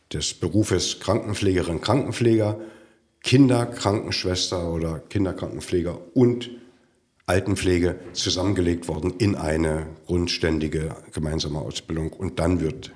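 A man hosting an interview, asking questions and summarizing terms for the listeners. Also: low voice. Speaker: podcast host.

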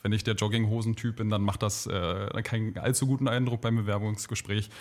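Hesitant man with a lowish voice. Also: steady tone, fairly quick. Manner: hesitant, filler-heavy. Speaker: company employee, radio guest. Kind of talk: radio interview answers.

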